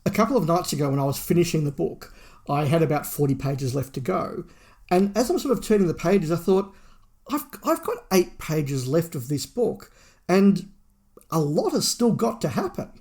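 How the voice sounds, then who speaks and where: A man's voice: a little high, animated, moderate pace, a little mellow. A middle-aged man talking at length and with emphasis as a podcast co-host, in a casual review discussion.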